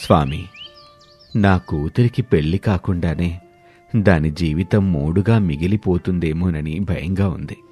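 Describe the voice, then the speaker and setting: A man voicing a humbled, gentle ageing village father who speaks softly and patiently in a moral story. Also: low voice, measured pace, breathy edge, faint music behind. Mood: anxious, remorseful. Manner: soft, patient, pleading.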